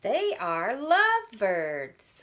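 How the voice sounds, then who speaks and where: A woman's voice: mid-range voice, wildly swooping pitch, very slow, a bit bright, full character voice. A woman voicing a cheerful, matter-of-fact storybook pig with simple, emphatic delivery.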